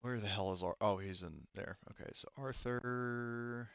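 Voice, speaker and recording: A man with a smooth, clear voice runs a tabletop roleplaying game in an informal way, thinking aloud through the rules. The recording is very dry and slightly dull. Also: mid-range voice, unhurried, fairly bright tone, dry recording. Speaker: game master. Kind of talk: casual tabletop game narration with dice rulings.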